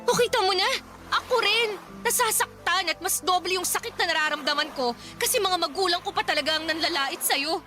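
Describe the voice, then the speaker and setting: A young woman in love in a radio drama, high voice, emotional, light music behind. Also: a little fast. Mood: hurt. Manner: emotional, pleading. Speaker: daughter and girlfriend in radio drama.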